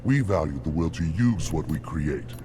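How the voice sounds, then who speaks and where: An actor playing an arrogant, grumbling alien warrior scientist in a video game, obsessed with weapons. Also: male voice, extremely low pitch, expressive, normal speed, noisy background. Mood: proud, irritated. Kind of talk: video game character acting.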